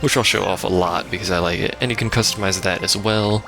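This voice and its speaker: A man's voice: sits low, steady tone, fast. A man giving casual, rambling live game commentary as a let's play host, with wry asides. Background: video game music.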